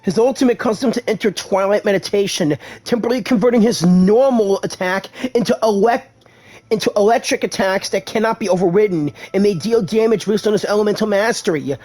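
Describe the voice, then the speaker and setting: A gaming video host giving casual gameplay commentary, given to excitable exclamations. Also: mid-range voice.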